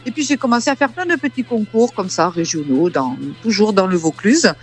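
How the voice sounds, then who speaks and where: Female singer, 50s, from southern France, halting mid-pitched speech, faint music under. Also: animated. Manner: hesitant, repeats words and fills with euh. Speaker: singer, interview guest. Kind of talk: casual interview.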